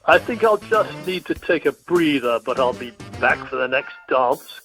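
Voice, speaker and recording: A man's voice: mid-range voice, animated, normal speed, a bit bright, faint music behind, rough recording. A man playing a gallant, flirtatious airline captain in an amateur radio play.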